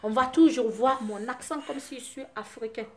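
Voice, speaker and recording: A woman from Guinea with a Guinean French accent, Susu her first language, talking to her fans in an impassioned vlog, her voice often rising into loud, forceful outbursts. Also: a little high, moderate pace. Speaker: vlogger addressing her fans. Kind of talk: impassioned monologue to camera.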